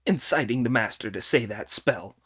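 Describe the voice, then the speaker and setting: A man's voice: medium pitch, normal speed, breathy edge. A man reading an audiobook, voicing a cheeky, boastful male monkey king who bosses the others around. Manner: boastful, commanding, excitable.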